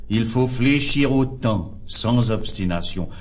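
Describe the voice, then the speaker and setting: An actor playing a tolerant, philosophical friend who urges moderation, speaking classical French verse in a measured, articulate way. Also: male voice, medium pitch, animated. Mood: calm, philosophical.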